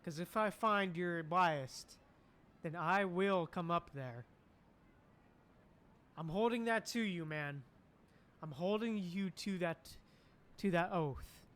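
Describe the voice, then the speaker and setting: Unhurried streamer cheering a game along. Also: female voice, lowish voice, fairly bright tone. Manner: encouraging interjections, repeats phrases. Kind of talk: casual live gameplay commentary. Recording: faint game music behind.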